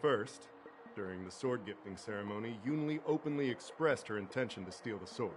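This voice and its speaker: An actor playing a methodical, dutiful investigator in a video game, with formal, analytical delivery. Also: male voice, on the low side, fairly quick, natural voice. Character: methodical, dutiful male investigator presenting a case. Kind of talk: scripted game dialogue. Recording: loud background music under voice. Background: music.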